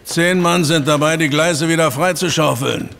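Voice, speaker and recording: Plain-spoken male rural track worker for audio drama, creaky voice pitched a little high. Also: normal speed, fairly bright tone, faint music behind. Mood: matter-of-fact. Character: matter-of-fact male railway workman in rural Britain. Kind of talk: scripted radio drama acting.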